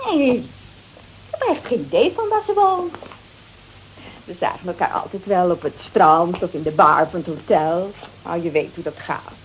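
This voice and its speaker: A woman's voice: fairly low pitch, expressive, moderate pace, slight background noise, natural voice, low-quality recording. An actress in a radio play, playing a brash, coarse-tongued married woman confessing under threat in hesitant, colloquial speech. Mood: chatty, confiding. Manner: hesitant, colloquial, peppered with little tags. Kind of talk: Dutch radio play dialogue.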